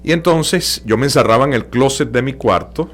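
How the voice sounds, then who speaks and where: An older man from Venezuela with a Venezuelan Spanish accent, a radio announcer telling his story as a guest, relaxed and full of hesitations. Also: medium pitch, normal speed. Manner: relaxed, hesitant with many fillers. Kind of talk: interview storytelling.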